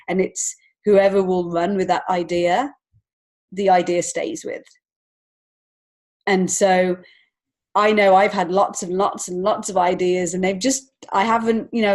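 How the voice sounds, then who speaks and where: A woman chatting casually as a guest in an interview, with a candid, expressive and giggly manner. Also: fairly low pitch. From lives in Montreal, Canada. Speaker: guest, children's author and illustrator.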